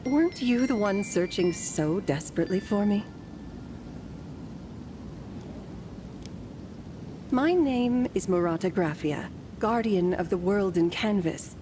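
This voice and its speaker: A woman voicing an ancient, serene female guardian in a story game, with formal, measured, gently teasing phrasing. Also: medium pitch, expressive, a little hiss or hum, close to an everyday voice. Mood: amused, composed.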